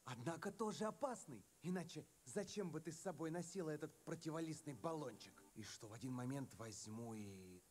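A man playing a sly, wry male fox in a dubbed animated film. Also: on the high side, fairly quick, natural voice. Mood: hurt, bitter.

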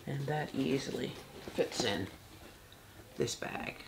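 A soft-spoken woman in her fifties, casually showing a handbag in her bedroom with halting speech and many fillers. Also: a bass voice, expressive, gravelly, noisy background. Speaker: handbag vlogger. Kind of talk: casual product demo. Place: bedroom, seated on a bed. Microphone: external camera mic.

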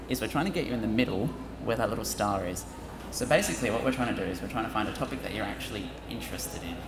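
A young man giving an upbeat talk to high school students from a lectern, adding joking asides. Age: young adult. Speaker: university presenter. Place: large auditorium with stage. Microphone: lectern microphone. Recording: echoey hall with audience noise.

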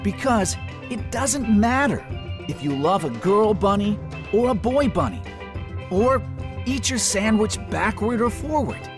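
A man reading a children's story aloud as a trained voice actor, theatrical and deliberate in his emphasis. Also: sits high, expressive. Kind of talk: expressive children's storybook narration. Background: soft music.

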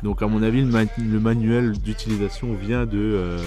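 Low-pitched man, casual product review. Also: flat delivery, moderate pace, dark tone, faint music behind. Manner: informal, hesitant delivery with mild slang.

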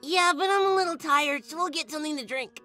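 A woman voicing an eager young male goat monster in a comic dub, excitable and boyish. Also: sits high, fast, faint music behind. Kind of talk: amateur comic dub acting.

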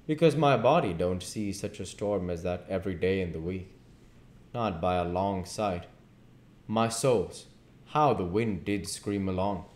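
A man in his thirties reading a classic novel aloud deliberately, with occasional stumbles. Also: low voice. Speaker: amateur audiobook reader. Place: living room at home, indoors. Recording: echoey home room recording.

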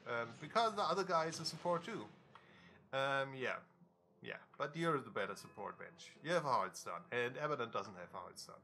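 A man casting a video game match on his own, critical and dry, with frequent ums and pauses. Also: mid-range voice, expressive, bright, forceful tone, a little hiss or hum. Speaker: game caster. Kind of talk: casual solo game commentary.

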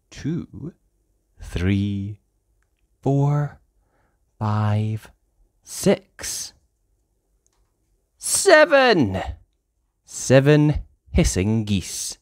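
A storyteller reads a picture book for small children at a very slow pace. The pitch swings widely in a sing-song, exaggerated delivery, sitting in a middle register overall. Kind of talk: read-aloud for young children, slow counting.

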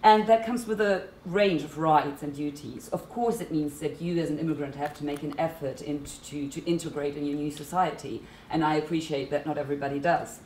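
A woman's voice: deep voice, steady tone, a little fast, hardly any pauses, darkish tone, a little hiss or hum. A woman in her thirties with a German accent, speaking as a panelist in a seminar room, earnest and emphatic. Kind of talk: panel discussion talk. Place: seminar room at a conference table. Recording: echoey room.

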